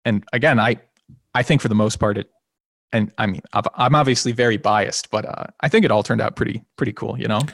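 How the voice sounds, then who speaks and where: Relaxed, slightly nasal man musician. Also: fairly low pitch, fairly quick, gravelly, dry recording, studio quality. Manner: relaxed and rambling, with many restarts and small laughs. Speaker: singer and guitarist of a band. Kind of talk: casual interview conversation.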